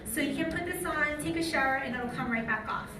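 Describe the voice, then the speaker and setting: A woman's voice: high voice, a little fast. An American woman giving a live product training, speaking informally and putting questions to her audience. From lives in Utah, United States. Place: large room with an audience. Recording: echoey room, distant mic, noisy.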